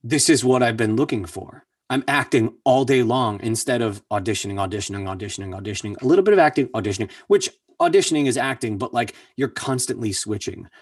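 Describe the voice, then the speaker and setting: A man's voice: medium pitch, animated, fast, few pauses, breathy edge, dry recording. A man who works as a voice actor, talking in a casual interview and telling his story earnestly, repeating words for emphasis. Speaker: voice actor guest. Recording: distant mic, dry sound.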